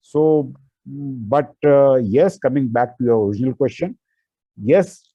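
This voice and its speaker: A man's voice: mid-range voice, measured pace, slightly rough, no room sound, muffled recording. A man whose first language is not English, an author answering interview questions with many fillers and restarts.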